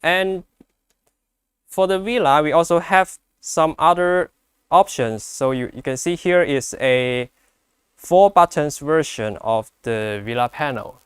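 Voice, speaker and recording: A man who speaks English as a second language gives an unscripted product demonstration, explaining step by step in a halting way. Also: a little high, smooth, no echo, clean studio sound. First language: not English. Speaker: product presenter.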